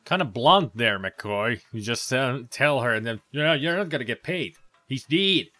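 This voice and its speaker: Sarcastic, animated gameplay commentator man. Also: medium pitch, measured pace, no room sound, soft background music. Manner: rambling, sarcastic asides. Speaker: let's play commentator.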